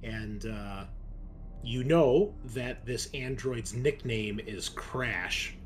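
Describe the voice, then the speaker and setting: A man's voice: medium pitch, extremely slow. A man improvising narration as game master of a tabletop game in a conversational way. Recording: faint background noise.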